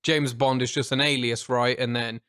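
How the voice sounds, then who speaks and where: A man's voice: mid-range voice, fairly even intonation, moderate pace, sharp tone, smooth, no room sound, studio quality. A man from the UK, co-hosting a film podcast, chatty, enthusiastic and swearing freely. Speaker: podcast co-host. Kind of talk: casual film chat.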